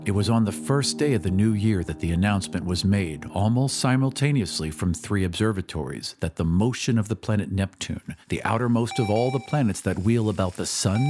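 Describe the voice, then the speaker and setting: A male narrator reads a story in a fairly low voice. His delivery is measured and solemn, shaped as dramatic scripted storytelling. Music plays clearly behind him throughout. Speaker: podcast host and narrator.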